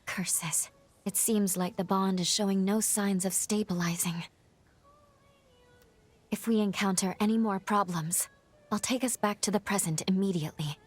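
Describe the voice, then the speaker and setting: An actress playing a composed, caring young woman who guides the hero in a video game, speaking in a measured, formal way. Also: mid-range voice, steady tone, echoey room, faint music behind, natural voice. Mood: serious, cautious. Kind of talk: video game cutscene acting.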